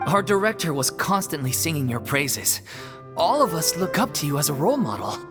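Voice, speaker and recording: Game role: a sensible troupe woman, low voice, expressive, music behind. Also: brisk. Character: practical theater troupe woman, level-headed. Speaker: game character.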